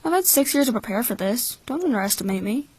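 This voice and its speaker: A woman voicing a cynical, calculating twelve-year-old orphan boy in a measured, blunt anime-style fan dub. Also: a little high, lively intonation, darkish tone, husky, no echo. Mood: cool, calculating.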